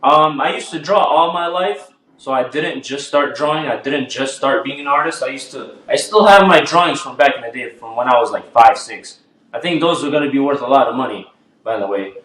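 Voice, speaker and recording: A man's voice: mid-range voice, a little fast, a bit bright, echoey room, low-quality recording. A man in his twenties from Albania, telling his life story in a laid-back podcast chat. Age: 20s. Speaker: guest artist.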